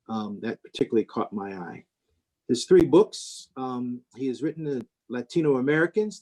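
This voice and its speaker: A man hosting a talk, introducing a guest speaker informally, halting between phrases. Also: medium pitch, darkish tone, rough recording.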